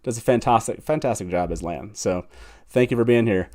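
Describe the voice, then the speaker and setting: A man's voice: lowish voice, fairly quick, a little mellow. A man hosting a live fan talk show in a casual, enthusiastic and emphatic way.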